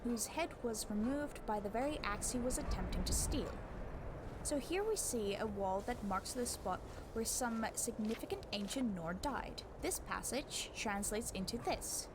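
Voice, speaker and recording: A woman reading a book aloud as scripted narration, in a measured, scholarly way with deliberate emphasis. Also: fairly high pitch, lively intonation, background noise. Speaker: book narrator giving a voice demo.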